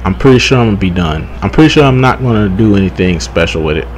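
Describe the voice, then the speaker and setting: A young man giving casual, rambling gaming commentary, halting, repeating himself and swearing freely. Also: fairly low pitch, animated, noisy background. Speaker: gaming YouTuber.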